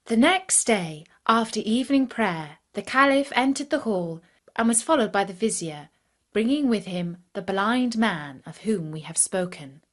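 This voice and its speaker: A woman storyteller narrates a children's fairy tale from a script. Her voice sits at a middling pitch and moves with very animated intonation. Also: unhurried.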